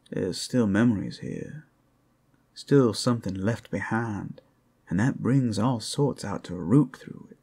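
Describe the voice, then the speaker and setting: A man playing a flirtatious, rowdy but friendly werewolf in an ASMR roleplay, with a gravelly, husky voice and a folksy, teasing drawl. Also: low-pitched, a little mellow, exaggerated voice.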